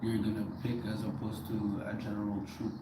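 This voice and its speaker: A woman with an unusually deep voice speaks hesitantly and thoughtfully. She is far from the mic in an echoing room, and background noise is clearly audible. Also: little pitch movement, a little fast. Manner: thoughtful, hesitant, many fillers. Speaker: guest participant. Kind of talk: group discussion. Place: indoor group gathering.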